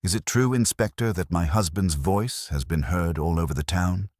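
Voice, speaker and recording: Composed, formal widow performed by a male narrator, extremely low voice, close dry recording. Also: moderate pace, a little mellow, no echo.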